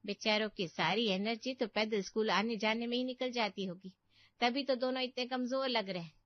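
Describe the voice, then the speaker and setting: A woman's voice: a little high, normal speed, dry recording, muffled recording, close to an everyday voice. A woman playing a nagging, meddling older aunt in a cartoon story, with a scolding, pitying and boastful manner. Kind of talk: dramatic Hindi cartoon story acting.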